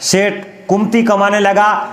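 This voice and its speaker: A man narrating a folk story in an unhurried, drawn-out way, often calling his listeners friends. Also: high voice, little pitch movement, a bit bright, echoey room.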